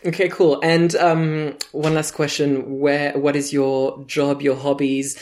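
A woman's voice: low-pitched, level tone, slow, darkish tone. A podcast host from France with a French accent, opening the show in a teasing, playful way and chatting casually with her guest. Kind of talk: casual chat.

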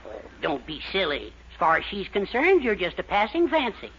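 A sly know-it-all adviser. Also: male voice, sits high, huge pitch swings, brisk, fairly bright tone, a little hiss or hum, exaggerated voice. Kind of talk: 1940s radio comedy acting. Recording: dull old radio broadcast.

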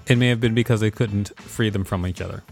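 A man's voice: on the low side, fairly even intonation, quick pace, creaky, darkish tone, faint music behind. A man co-host reading an encyclopedia article aloud, with casual asides here and there.

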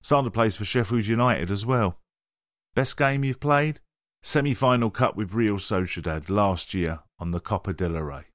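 A man's voice: on the low side, normal speed, no room sound, studio quality. A man reading a player question-and-answer piece aloud, lifting each prompt and dropping into the answers. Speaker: reader.

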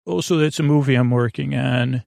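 A man hosting a sleep podcast with a creaky voice, rambling in a soothing, meandering, silly way and fumbling his words as he improvises. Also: mid-range voice, normal speed, a little mellow, no room sound, clean studio sound. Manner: soothing, lulling. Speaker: podcast host.

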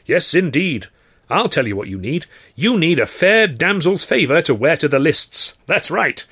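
A man voicing a hearty, theatrical Edwardian comedian with a booming, pompous delivery and flowery, courteous phrasing. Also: sing-song, moderate pace, a bit bright, slightly rough, heavily acted.

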